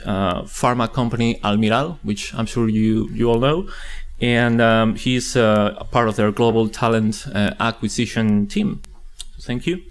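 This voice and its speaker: A man's voice: medium pitch, clear, resonant tone. A man presenting a conference panel, introducing the speakers with frequent hesitations and fillers. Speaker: event presenter. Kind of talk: event introduction.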